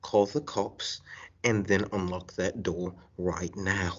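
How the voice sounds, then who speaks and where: A young man playing a bossy, exasperated radio studio colleague, stern and sarcastic, in a high school radio play. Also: steady tone, slow pace. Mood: threatening. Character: gruff, bossy, exasperated male radio show boss. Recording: dull lo-fi recording.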